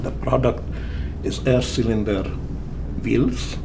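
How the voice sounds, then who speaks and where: A man speaks in an unremarkable middle register, building his explanations slowly and padding them with fillers. His phrasing comes through as he works through each point step by step. A steady noise sits under the recording. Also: mid-range voice, gravelly. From Hungary. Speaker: inventor guest. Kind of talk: casual interview answers.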